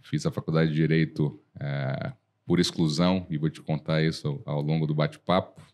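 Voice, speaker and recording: A Brazilian man in his forties, a lawyer guest on a podcast, telling stories in a lively way and acting out old conversations. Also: a bass voice, moderate pace. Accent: Brazilian Portuguese. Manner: animated storyteller, acts out dialogue, frequent restarts. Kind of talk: casual storytelling interview.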